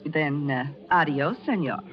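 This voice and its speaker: An actress playing a brash, quick-witted American press photographer, skeptical and pointed, in 1940s radio drama style. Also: female voice, sits low, animated. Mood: brash, incredulous. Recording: dull vintage radio recording with music.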